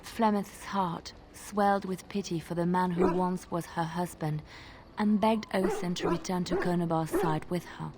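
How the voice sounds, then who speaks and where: Actress as a lowish-voiced, lyrical female fantasy minstrel. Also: steady tone, a little mellow, slight background noise, lightly acted. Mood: wistful. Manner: measured, suspenseful, lyrical delivery. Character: female traveling minstrel, soft-spoken, wistful storyteller. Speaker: game companion character. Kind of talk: dramatic storytelling in a fantasy game.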